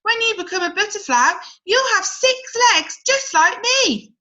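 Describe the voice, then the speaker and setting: A woman voicing a perky, proud grasshopper in a children's storybook, excitable and encouraging. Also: extremely high pitch, unhurried, lo-fi sound, pitched above the performer's own voice, exaggerated voice. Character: perky, reassuring grasshopper, proud of its six legs. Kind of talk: children's storybook read-aloud.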